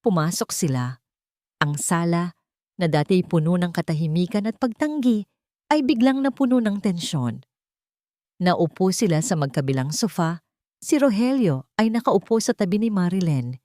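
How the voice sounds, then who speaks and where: Low-voiced woman narrating with swooping, dramatic pitch. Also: sing-song, normal speed, mellow, dry recording. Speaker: story narrator.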